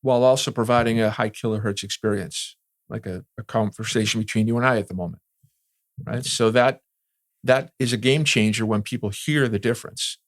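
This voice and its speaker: Man, lowish voice, industry expert, explanatory interview style, frequent "right?" tags, dry close sound. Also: steady tone, brisk, no room sound. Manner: explanatory, often checks in with "right?". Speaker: security and communications industry veteran being interviewed. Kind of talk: conversational expert interview answers.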